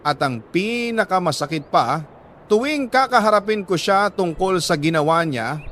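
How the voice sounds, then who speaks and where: A man with a Filipino accent reads a scripted letter as an emotive, deliberate storyteller, leaning on repeated phrases for emphasis. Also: a little high, fairly bright tone, slight background noise. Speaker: narrator reading a listener's letter. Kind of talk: dramatic scripted storytelling narration.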